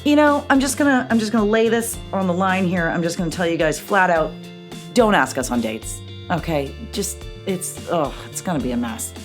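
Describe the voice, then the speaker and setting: A woman panelist banters casually in a playfully dramatic, emphatic way. Her pitch moves around a lot, over faint background music. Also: lively intonation, normal speed. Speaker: game show panelist.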